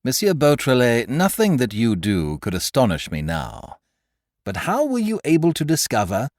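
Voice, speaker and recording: A man's voice: mid-range voice, wildly swooping pitch, normal speed, a little mellow, dry recording, studio quality. A man voicing an indignant middle-aged male magistrate, flustered and protesting. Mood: curious.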